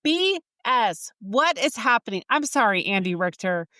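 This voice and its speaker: A woman in her thirties co-hosting a podcast, talking in an animated, indignant way and laughing often. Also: a little high, a little slow, no room sound, clean studio sound. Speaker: podcast co-host. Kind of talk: casual chat.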